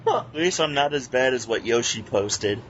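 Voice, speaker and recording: Young guy chatting on a podcast, normal pitch, dull cheap mic, little bit noisy. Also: animated, moderate pace, a bit bright. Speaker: podcast co-host. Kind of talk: casual group banter. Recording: dull lo-fi voice chat, faint noise.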